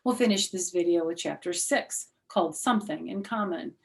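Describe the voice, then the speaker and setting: A woman's voice: fairly low pitch, normal speed, gravelly. An older woman with an American accent, reading a children's story expressively with simple, chatty explanations for young listeners. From United States. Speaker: storyteller, former teacher.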